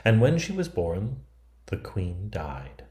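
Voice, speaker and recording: Man in his fifties, low-pitched, soft dark tone, storybook narration, expressive, noticeable room echo. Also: slow pace. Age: 50s. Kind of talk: dramatic storybook reading. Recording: roomy, echoing sound.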